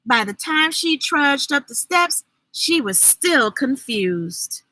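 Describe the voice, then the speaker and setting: A woman reading a children's story aloud, expressive and deliberate, adding her own asides. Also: high-pitched. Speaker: storyteller, host.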